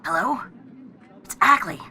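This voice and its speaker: A woman's voice: medium pitch, expressive, measured pace, bright, forceful tone, a little hiss or hum. An actress playing a menacing female caller in a radio play, giving curt, stilted warnings in a strained, harsh voice. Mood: urgent, stilted. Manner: stilted, clipped, commanding.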